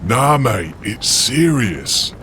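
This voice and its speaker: A man's voice: mid-range voice, extremely slow. A man doing a dry, gossipy warrior. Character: weary laddish male demigod warrior. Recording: steady background noise.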